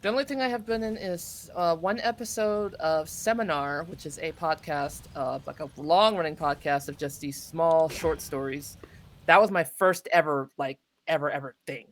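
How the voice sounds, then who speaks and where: A woman voice actor being interviewed, chatting casually during a video game in an excitable, halting way, often interrupting herself. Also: low voice, a little hiss or hum. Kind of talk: casual chat while playing a video game.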